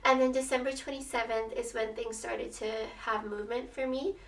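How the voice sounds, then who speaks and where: A young woman with a slightly raspy voice, telling a personal story in a casual, chatty, expressive way, as a vlogger would. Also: a little high, normal speed. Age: young adult. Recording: roomy echo, distant microphone.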